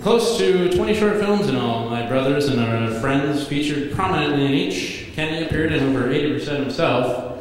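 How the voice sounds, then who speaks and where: A man in his thirties giving a best man's toast at a wedding reception, telling comic stories in a dry, deadpan way at a podium microphone. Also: a little high, moderate pace, hardly any pauses. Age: 30s. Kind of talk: wedding toast read from notes. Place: large banquet hall at a wedding reception. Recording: echoey banquet hall with reverb. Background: guests laughing.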